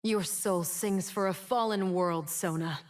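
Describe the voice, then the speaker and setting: A woman's voice: mid-range voice, lightly acted. An actress playing a bitter, wounded fallen winged woman in a video game, solemn and theatrical. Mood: brooding, solemn. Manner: slow, solemn, theatrical delivery. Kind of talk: dramatic video game champion voice lines.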